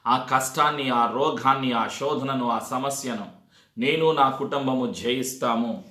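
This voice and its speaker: Bright, forceful preaching man in echo. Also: medium pitch, measured pace. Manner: exhorting, repetitive phrasing with rhetorical questions. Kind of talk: sermon to a congregation. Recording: echoey, reverberant sound.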